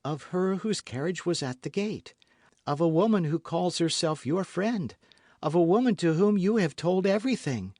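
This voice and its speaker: A man's voice: high voice, animated, normal speed, darkish tone, dry recording, studio quality, voice pushed up. A man voicing a frail young woman who speaks in a faint, fading voice. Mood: distressed.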